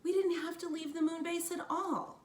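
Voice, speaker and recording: A woman reading a storybook, voicing an excitable, inquisitive little girl. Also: extremely high pitch, moderate pace, pitched above the performer's own voice. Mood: surprised. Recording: roomy, distant mic, faint noise.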